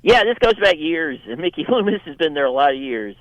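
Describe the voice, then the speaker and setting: A man giving conversational sports analysis as a radio guest, often repeating and restarting his phrases in a halting way. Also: a little high, very animated, dry recording, muffled recording.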